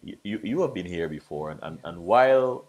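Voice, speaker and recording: A man in his forties hosting an interview show, speaking in a measured way from a home studio over a desk microphone. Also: deep voice, animated, darkish tone. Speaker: host. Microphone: desk microphone, video call.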